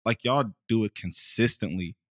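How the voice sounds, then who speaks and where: Bright-voiced joking man in his twenties. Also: fairly low pitch, moderate pace, no echo, clean studio sound. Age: 20s. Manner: loud, laughing, profane banter. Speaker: guest. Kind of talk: casual podcast chat.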